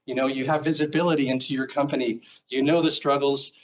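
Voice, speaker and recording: A man's voice: mid-range voice, steady tone, quick pace, muffled recording, a little hiss or hum. A middle-aged man from Seattle with an American accent, giving a rambling conference talk full of fillers in a presentation room. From Seattle, Washington, US. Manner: rambling, filler-heavy, self-correcting. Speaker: guest speaker. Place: presentation room, standing before a screen.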